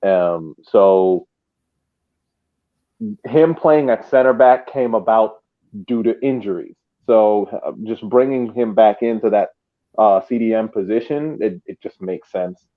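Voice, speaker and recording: A man's voice: lowish voice, a little slow. Man on a tinny, phone-like line. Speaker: soccer podcast panelist. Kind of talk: casual match analysis.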